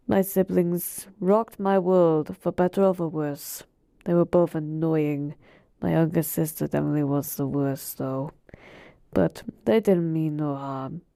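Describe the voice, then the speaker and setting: A woman playing a polite, weary genie bound to serve, speaking in a soft, measured, wistful way in an intimate audio roleplay. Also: fairly low pitch, vocal fry, no echo, clean studio sound. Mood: wistful, patient. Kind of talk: slow, intimate roleplay monologue to a listener.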